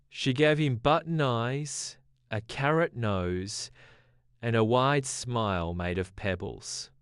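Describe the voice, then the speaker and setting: Slow, gentle bedtime storyteller man. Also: mid-range voice, smooth, no room sound, studio quality. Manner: gentle, measured. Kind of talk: scripted bedtime story narration.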